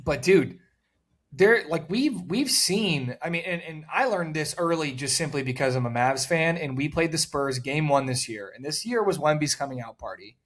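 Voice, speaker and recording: A man co-hosting a casual sports talk show, rambling and repeating words, with a loud voice that cuts through noise. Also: a little high, animated, moderate pace. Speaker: podcast co-host.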